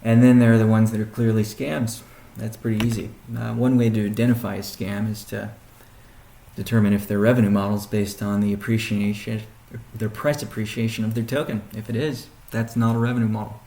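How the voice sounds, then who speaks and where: A man speaking as a chief executive on a conference call, answering questions in a halting, deliberate way with pauses and restatements, in a roomy recording. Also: lowish voice, flat delivery, gravelly, mellow, a little hiss or hum. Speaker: company CEO hosting a weekly conference call. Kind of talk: question-and-answer business briefing. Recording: roomy, echoing sound.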